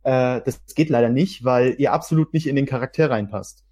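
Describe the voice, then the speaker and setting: A man's voice: mid-range voice, brisk, a little mellow. A man talking casually as a podcast guest, explaining things in an articulate way. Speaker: podcast guest, hobby audio drama maker.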